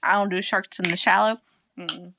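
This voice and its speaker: A woman's voice: medium pitch, fairly even intonation, moderate pace, noisy background. A woman co-hosting a podcast in casual chat, a little tipsy, swearing and laughing as she argues. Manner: profane, laughing, argumentative. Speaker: podcast co-host.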